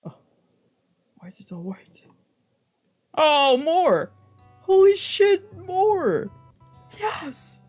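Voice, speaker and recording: A woman streamer playing a visual novel, mixing casual, slangy, expressive commentary with reading the game text aloud. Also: high voice, very slow, pauses often. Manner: expressive, reactive, exclamatory, slangy. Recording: music playing behind the voice.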